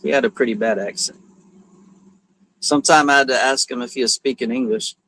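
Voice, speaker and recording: A man's voice: mid-range voice, a little fast, a bit bright, low-quality recording. A man co-hosting a livestream from another channel, giving easygoing, brief replies in casual chat.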